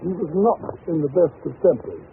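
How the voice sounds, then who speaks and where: A man playing a genial, mildly exasperated gentleman companion in a 1930s radio drama. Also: a little high, very animated, a little fast. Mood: fond, reminiscing. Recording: scratchy, tinny 1930s radio recording with hiss.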